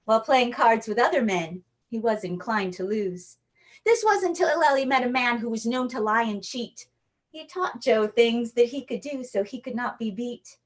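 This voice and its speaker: A woman from Hawaii performing as a magician for an online show, with playful, jokey stage patter and a rhyming story. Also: fairly high pitch.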